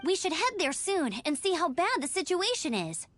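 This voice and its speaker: A woman voicing a calm, decisive young female adventurer in a game. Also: extremely high pitch, normal speed, exaggerated voice. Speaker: game protagonist. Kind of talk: game voice acting. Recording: game audio with soft music.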